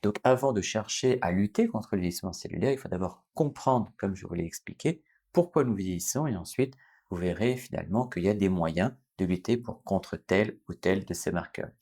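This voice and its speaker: A man in his forties, a doctor from France, explaining a topic to his viewers in an unscripted way, pausing often to correct himself and adding fillers. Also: deep voice, lively intonation, mellow, husky. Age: 40s. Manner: explanatory, many hesitations and self-corrections. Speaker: doctor and channel host. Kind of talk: unscripted educational talk to camera.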